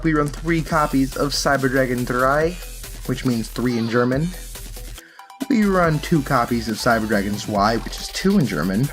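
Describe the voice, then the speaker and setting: A man with a middle-pitched voice talks through his card game in a casual, unscripted way. He explains his choices and often corrects himself mid-sentence, with music playing behind him. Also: a bit bright. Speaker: card game channel host. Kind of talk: casual unscripted gameplay and deck commentary.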